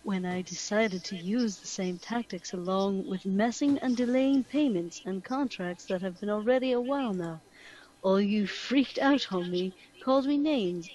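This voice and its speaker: A woman's voice: medium pitch, darkish tone, lo-fi sound, natural voice. A woman voicing a bitter, accusing leader in a theatrical comic reading with a put-on accent. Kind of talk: mock-dramatic email reading.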